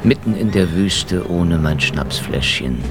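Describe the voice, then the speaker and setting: Abgebrühter Ermittler mit extrem tiefer Stimme. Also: male voice, sits low, slow pace. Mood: brooding, cynical. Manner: sardonic, deadpan wisecracking. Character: hardboiled cynical male KGB investigator, hard-drinking, foul-mouthed, wisecracking. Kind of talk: noir radio drama, first-person narration and dialogue. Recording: music behind the voice. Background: prominent music.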